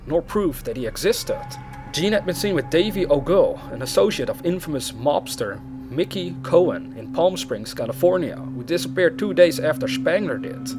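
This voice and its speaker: A man with a slightly high voice narrates a true crime case as if reading from an article. He is the narrator of the series, and music plays faintly behind him. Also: darkish tone. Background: background music.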